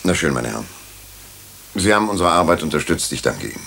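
An actor playing a police inspector in a radio drama, courteous but probing and insistent. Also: male voice, rumbling low, sing-song, fast talker, vocal fry, pushed and bright, slightly rough, exaggerated voice. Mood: polite, commanding. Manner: courteous, probing, pointed questioning.

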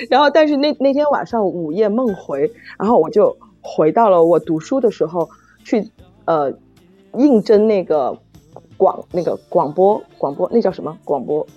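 A woman chatting casually as a guest author, halting and repeating phrases, with self-deprecating giggly humor. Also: fairly low pitch, animated, mellow. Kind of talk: casual unscripted chat. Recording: muffled lo-fi audio, music behind. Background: saxophone background music.

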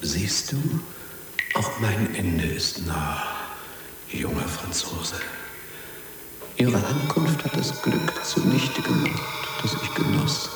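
An actor playing a solemn dying man in a radio drama, halting, breathy, grave and reproachful. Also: on the low side, very animated. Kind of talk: dramatic radio play acting. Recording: cavernous echo with music behind.

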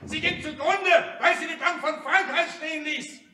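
An actor playing a ruthless radical agitator in a radio play, hard, demanding and declamatory. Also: male voice, very high voice, normal speed, heavy background noise. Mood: agitated. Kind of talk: theatrical radio play oratory. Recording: echoey hall, distant radio play recording.

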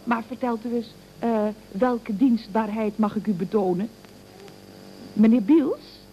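An actress playing a cheeky, dry-witted office receptionist in radio comedy, with a mock-formal, teasing manner. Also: female voice, fairly high pitch, a little slow, warm tone, slight background noise, lightly acted. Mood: mock-polite, teasing. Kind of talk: 1970s Dutch radio comedy acting. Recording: dull old radio recording.

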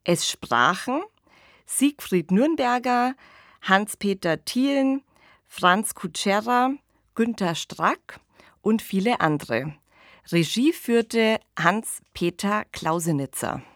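A woman's voice: medium pitch, expressive, takes it slowly, dry recording. Woman podcast host, dry studio sound. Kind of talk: podcast discussion with scripted credits.

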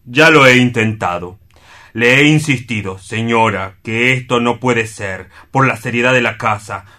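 An actor playing a gloomy, solemn and indignant war-scarred orderly in a radio play, grave, formal and plaintive. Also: male voice, medium pitch, measured pace, sharp tone. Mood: exasperated.

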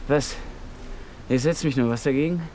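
A man's voice: mid-range voice, moderate pace, heavy background noise, close to an everyday voice. An actor playing an injured, exhausted man in a radio play, sardonic and bitter, with feverish poetic rambling. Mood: curt, dismissive. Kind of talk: naturalistic German radio play acting.

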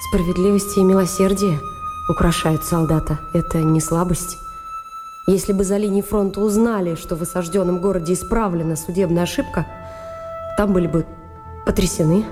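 An actress playing an earnest, idealistic wartime lawyer with a dignified, pleading manner in a radio play. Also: female voice, fairly low pitch, fairly even intonation, moderate pace, lightly acted. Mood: impassioned. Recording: long hall reverb, music behind.

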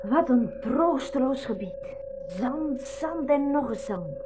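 Inquisitive young girl, high and animated, soft mellow tone, old radio play. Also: takes it slowly, over loud music. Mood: doubtful, curious. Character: Marleen, lively inquisitive young girl traveller. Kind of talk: 1980s school radio play acting.

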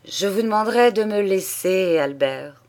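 A woman's voice: on the low side, measured pace, close to an everyday voice. A woman voicing a proud, hot-tempered old male aristocrat who speaks with haughty irony and bursts into anger, read in an audiobook. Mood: weary, anguished. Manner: haughty and ironic, flaring into shouts.

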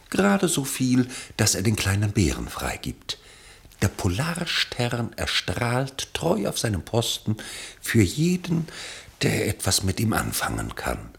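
Deep gravelly male storyteller, expressive. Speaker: radio play narrator. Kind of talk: literary scripted narration.

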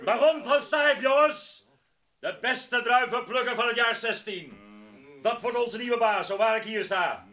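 A man's voice: extremely high pitch, measured pace, sharp tone, heavily acted. A male actor playing a rowdy, wine-loving grape-picker ringleader in a radio drama, boisterous and mock-solemn. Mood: florid, mock-solemn. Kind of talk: Dutch radio drama acting.

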